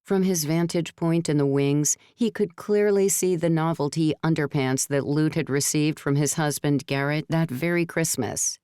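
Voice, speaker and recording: A woman reading scripted narration for a novel in a measured storytelling manner. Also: low voice, warm tone, smooth, no room sound, clean studio sound. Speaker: audiobook narrator.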